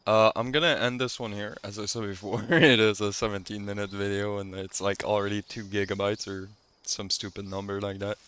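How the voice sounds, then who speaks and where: A man's voice: fairly low pitch, level tone, brisk, fairly bright tone, clear, resonant tone. A man giving casual, rambling commentary as the host of a video game playthrough. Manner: rambling, laid-back. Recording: faint game ambience behind commentary.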